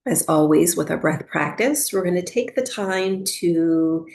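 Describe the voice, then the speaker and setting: A woman teaching breathwork and guiding a meditation for sleep, speaking softly in a soothing, unhurried way with long pauses. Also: lowish voice, expressive, vocal fry, a little hiss or hum.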